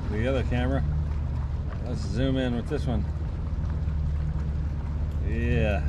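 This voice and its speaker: A man hosting a kayaking vlog, chatting casually and laughing easily while wading in shallow sea water, recorded on an action camera. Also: on the high side. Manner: easygoing, chatty, laughs often. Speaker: kayaking channel host. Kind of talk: casual outdoor vlog narration. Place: outdoors in shallow sea water by rocks and a beach. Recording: outdoor action camera with loud water noise.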